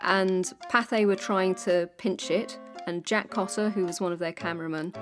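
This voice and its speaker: A woman's voice: medium pitch, steady tone, moderate pace, soft background music. A woman gives explanatory interview commentary in a documentary. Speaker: interviewed commentator on newsreel history.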